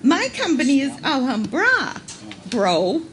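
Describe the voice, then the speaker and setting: Woman acting a very high-pitched, haughty businesswoman. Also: wildly swooping pitch, slow, full character voice. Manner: theatrical, imperious. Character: haughty, indignant businesswoman under police questioning. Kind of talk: live old-time radio police drama parody. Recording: noisy live shipboard stage performance.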